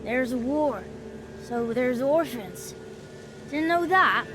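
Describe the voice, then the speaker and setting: A woman's voice: sits high, expressive, slow pace, noisy background. A woman playing a matter-of-fact, impudent peasant orphan child in a video game, with blunt rustic speech and music behind. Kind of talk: video game character acting.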